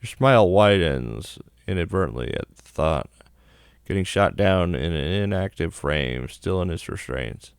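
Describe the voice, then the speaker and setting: A man's voice: low voice, gravelly, clean tone, dry recording, studio quality. A man reading a visual novel aloud as dramatic narration for a gaming channel.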